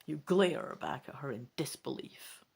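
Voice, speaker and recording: A woman's voice: a bass voice, big pitch swings, unhurried, gravelly, a little mellow, breathy edge. A woman reading a fantasy gamebook aloud as a dramatic narrator. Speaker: gamebook reader.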